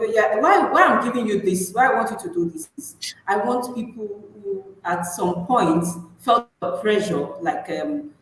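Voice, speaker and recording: A woman with a Nigerian accent hosts a live interview conversationally. Her voice is slightly low for a woman. It reaches the listener through heavy room echo, with a little noise underneath. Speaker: interviewer and host. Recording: very echoey room, faint noise.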